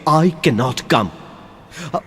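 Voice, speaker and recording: An actor playing a young man from India with an Indian English accent, swinging between outbursts and hushed pleading in an audio drama. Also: mid-range voice, expressive, slow, slightly rough, heavily acted. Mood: irritated. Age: young adult. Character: young man Raj, pleading with old college love. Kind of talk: amateur audio drama acting.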